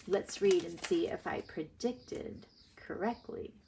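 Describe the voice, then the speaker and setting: A woman teacher reading aloud to young children and explaining her thinking in a deliberate way. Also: on the low side, gravelly, mellow, slight background noise. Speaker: primary school reading teacher.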